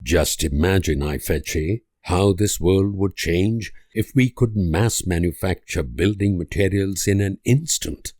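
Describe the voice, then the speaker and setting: An actor playing an idealistic, world-weary diplomat, delivering grave, deliberate speeches and letters in a strategy game. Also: male voice, low voice, a little mellow, no room sound, studio quality. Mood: wistful hope.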